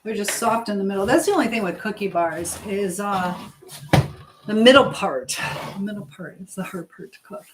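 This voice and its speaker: Middle-aged woman, mid-pitched voice, live stream host, casual, motherly, rambling and halting delivery, reads comments aloud. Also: animated, background noise. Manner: motherly, advising. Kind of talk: casual live chat, reading viewers' messages aloud.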